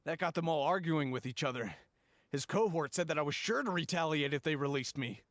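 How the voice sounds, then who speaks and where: A man's voice: fairly high pitch, fairly quick, fairly bright tone, no echo, clean studio sound, lightly acted. A man playing a timid, shaken merchant in a video game, talking in an anxious, cautioning way.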